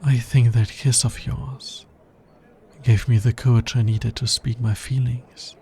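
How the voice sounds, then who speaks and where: A man playing a tender, earnest alien scientist in an intimate ASMR romance roleplay, soft-spoken and measured. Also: medium pitch, flat delivery, slight background noise, lightly acted. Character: smitten male alien scientist, earnest, tender, fascinated by humans.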